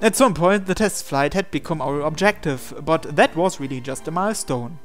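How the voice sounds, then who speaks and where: Man, slightly high voice, let's play narrator reading game text with asides, faint music behind. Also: lively intonation, normal speed. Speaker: gamer reading a visual novel aloud.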